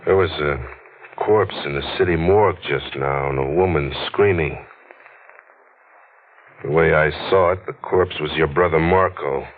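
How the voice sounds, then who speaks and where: Deep-voiced hard-boiled crime reporter. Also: male voice, a little slow, long pauses, a little hiss or hum. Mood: brooding. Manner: jaded, clipped tough-guy talk. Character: hard-boiled, world-weary newspaper crime reporter, man. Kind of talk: 1940s radio noir acting with narration. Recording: muffled old radio recording with music.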